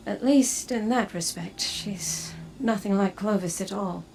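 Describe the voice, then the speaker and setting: Tired time traveler, slow hushed gravelly voice, soft and thoughtful. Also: female voice, mid-range voice, slight background noise, rough recording. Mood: worried. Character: weary time-traveling woman, haunted and tender. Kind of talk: video game dialogue.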